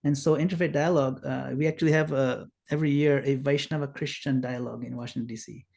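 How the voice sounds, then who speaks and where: A middle-aged man from Kenya talks over a video call. His voice is gravelly and sits mid-pitch. He explains in an earnest, unhurried way, with small fillers. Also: fairly even intonation, darkish tone. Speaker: guest speaker. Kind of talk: informal spiritual talk.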